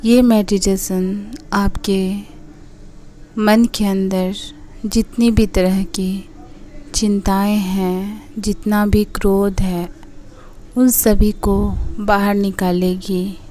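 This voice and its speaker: A woman leading a guided meditation, giving soothing, unhurried instructions in short phrases with pauses between them. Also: medium pitch, flat delivery, dark tone, a little hiss or hum.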